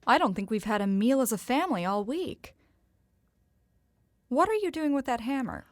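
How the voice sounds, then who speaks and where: A woman's voice: a little high, sing-song, moderate pace, no room sound, clean studio sound. A woman playing a concerned, questioning 1920s mother, mildly exasperated, in a radio drama. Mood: concerned, suspicious.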